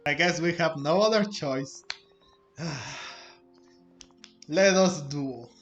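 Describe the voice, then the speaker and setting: A man's voice: on the high side, slow, fairly bright tone, echoey room, lo-fi sound, faint music behind. A man hosting a gameplay video with casual, hesitant commentary, searching for his words. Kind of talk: casual let's play commentary.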